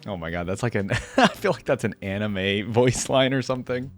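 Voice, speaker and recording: A man's voice: fairly low pitch, moderate pace, faint music behind. A man hosting a reaction video, chatting casually in an easygoing, easily amused way.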